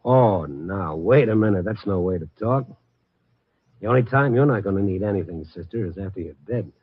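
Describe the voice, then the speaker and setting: An American actor playing a wry, hard-boiled night-beat newspaper columnist in 1950s radio drama style, with streetwise, dramatic storytelling. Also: male voice, deep voice, expressive, normal speed, slightly rough. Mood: casual concern. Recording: muffled vintage radio recording, music underneath.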